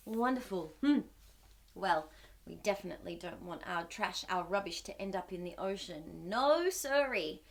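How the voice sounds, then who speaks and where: Female children's storytime host: very lively pitch swings, unhurried delivery, exaggerated wonder for young listeners. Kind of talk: animated picture-book read-aloud for young children.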